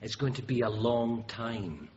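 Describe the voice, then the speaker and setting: A man's voice: mid-range voice, unhurried, darkish tone, natural voice. An actor playing a tense armed man holding a classroom hostage, barking short orders. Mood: demanding. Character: armed male hostage-taker, tense, demanding. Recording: dull noisy radio drama recording.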